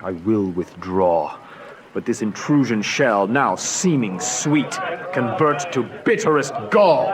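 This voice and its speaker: Resentful hot-headed young nobleman, animated. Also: lowish voice, slow, crowd noise, rough recording. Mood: bitter menace. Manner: indignant, biting. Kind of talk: Shakespearean radio drama acting.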